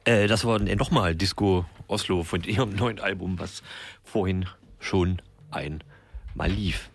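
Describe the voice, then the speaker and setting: A man's voice: low voice, measured pace, husky. A man co-hosting a radio show, chatting casually and making dry, wry remarks. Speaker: radio co-host.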